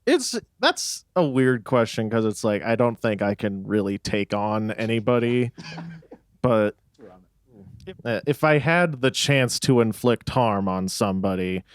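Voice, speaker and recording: A man's voice: on the low side, smooth, no echo, clean studio sound. A young man from Texas chatting casually in a band interview, rambling and laughing easily. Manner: relaxed, rambling, laughs often. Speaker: band member.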